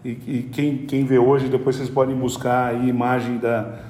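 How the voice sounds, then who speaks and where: A man's voice: mid-range voice, little pitch movement, moderate pace, a little mellow. A middle-aged man with a Brazilian Portuguese accent, a podcast guest who tells business stories conversationally and often stumbles and repeats words as he explains. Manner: hesitant, repeats words while explaining at length. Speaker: podcast guest, company executive. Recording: roomy echoey room.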